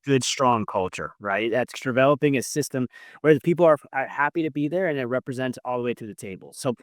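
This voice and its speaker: A man's voice: medium pitch, quick pace, no echo, studio quality. A man hosting a conversational business podcast, speaking emphatically and repeating key phrases for stress. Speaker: podcast host interviewing an entrepreneur.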